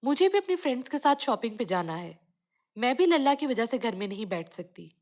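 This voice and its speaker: A woman voicing a self-absorbed city aunt in a children's cartoon story, brisk and argumentative. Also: a little high, very animated, darkish tone. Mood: irritated.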